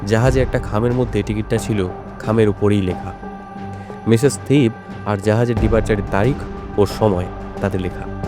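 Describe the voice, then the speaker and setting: A man's voice: fairly low pitch, normal speed, pauses often, lightly acted. Man as composed detective over music. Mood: reflective, explaining. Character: composed, probing male private detective. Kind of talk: audio drama dialogue.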